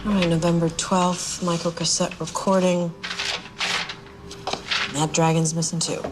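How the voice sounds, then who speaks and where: An actress playing a principled, dry-witted police investigator, blunt and sardonic in a screen drama. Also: female voice, fairly low pitch, level tone, a little slow, very noisy, lightly acted. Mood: businesslike. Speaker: actor in a TV supernatural police drama. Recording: music under the dialogue.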